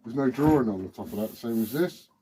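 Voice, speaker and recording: A British man with a husky voice rambling informally and swearing as he hosts a casual hobby vlog. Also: medium pitch, expressive, quick pace, mellow, slight background noise. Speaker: CB radio hobbyist video host. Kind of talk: casual rambling chat to camera.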